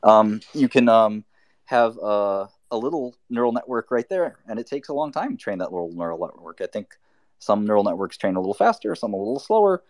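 A man's voice: fairly low pitch, big pitch swings, a little fast, gravelly, a little mellow, no room sound, clean studio sound. A man from Albania hosting a live audio chat, talking loosely with many fillers and restarts. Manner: rambling, lots of fillers and restarts. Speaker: host.

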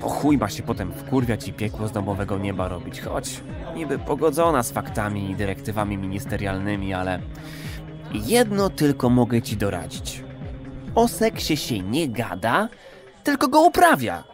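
A brash, animated, foul-mouthed guy. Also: lowish voice, normal speed, over music. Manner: vulgar, cocky, chummy slang. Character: brash, crude, streetwise male regular of a pleasure institute. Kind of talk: audio drama acting.

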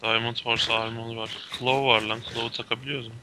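A man's voice: medium pitch, level tone, fairly quick. A man playing along in a gaming video, dropping short casual remarks, with noise behind him. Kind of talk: brief casual remarks during play. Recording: clear background noise with clicks.

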